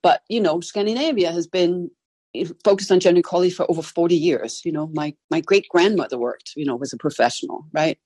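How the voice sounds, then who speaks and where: A woman with a Danish accent talks briskly through a call-in panel, her voice slightly husky. The sound is dry and close, with no room around it. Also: lowish voice, darkish tone, no echo. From Denmark. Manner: brisk, frequent "right?" tags. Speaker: gender equality consultant. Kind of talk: casual panel discussion.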